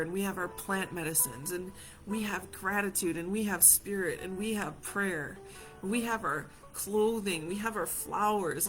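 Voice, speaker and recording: Female community healer, gentle and reassuring, repeats key phrases, mid-pitched, guitar music behind. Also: rough recording. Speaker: community healer hosting a live session. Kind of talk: gentle reflective guided talk to viewers.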